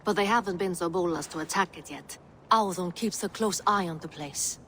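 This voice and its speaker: A low, dry-voiced Norse woman played by an actress, capable and sardonic. She speaks formally and measuredly in game dialogue, with some background noise. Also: fairly quick, fairly bright tone, close to an everyday voice. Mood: grave. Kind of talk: dramatic video game dialogue.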